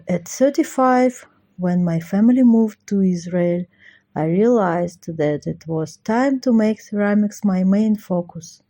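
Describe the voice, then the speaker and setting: A woman, a ceramic artist speaking slowly and carefully about her work, with hesitant fillers. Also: fairly low pitch, dark tone. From Russia. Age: 40s.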